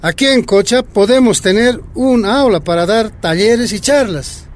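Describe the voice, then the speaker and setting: An actor playing a keen younger union leader in a radio drama, earnest and persuasive. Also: male voice, sits high, unhurried.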